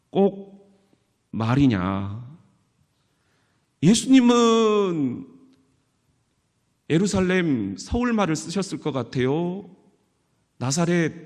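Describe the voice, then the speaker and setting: A middle-aged male pastor preaching slowly and deliberately, with a lively, rising and falling voice. His tone is candid and self-deprecating. The sound carries the long reverb of a church sanctuary. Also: on the high side. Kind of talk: sermon.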